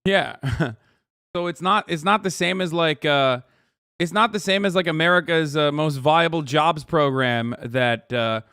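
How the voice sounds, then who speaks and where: Streamer guy from turkey ranting, lots of swearing, bit high voice, dry close sound. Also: measured pace, sharp tone, smooth, no room sound. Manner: profane, emphatic, rambling with restarts. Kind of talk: reacting to clips, casual rant.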